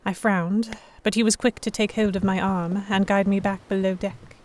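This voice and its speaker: A woman's voice: medium pitch, little pitch movement, moderate pace, a little mellow, slight background noise, natural voice. A woman playing an earnest, emotive noblewoman heroine who narrates an audio drama in the first person. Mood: observant, uneasy. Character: delicate young noblewoman, vexed, earnest, stubborn romantic heroine.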